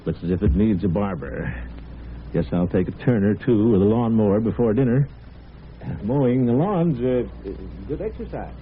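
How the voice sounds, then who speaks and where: A man's voice: lowish voice, lively intonation, moderate pace, natural voice. An actor playing a subdued, awkward middle-aged father in an old radio drama, on a tinny, noisy recording. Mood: evasive. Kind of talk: 1950s radio drama acting.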